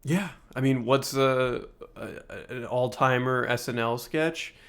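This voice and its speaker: A man's voice: mid-range voice, slow pace, a bit bright, smooth. A man co-hosting a podcast in loose, casual conversation with a dry joking manner. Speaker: podcast co-host. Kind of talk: casual chat.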